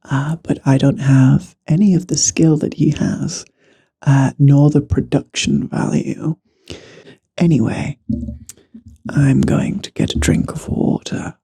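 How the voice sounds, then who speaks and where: Hoarse, gravelly man whispering slowly. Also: a little high, steady tone, warm tone. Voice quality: raspy, guttural. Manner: chatty, self-deprecating, drifts between whisper and voice. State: losing his voice, hoarse. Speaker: vlogger. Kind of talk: casual ASMR whispering. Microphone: handheld microphone.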